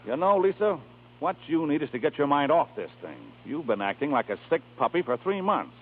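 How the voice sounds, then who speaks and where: An actor playing a brisk, persuasive opera manager, breezy and blunt, in a 1930s radio drama. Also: male voice, fairly high pitch, sing-song, husky. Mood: coaxing. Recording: muffled, narrow 1930s radio recording.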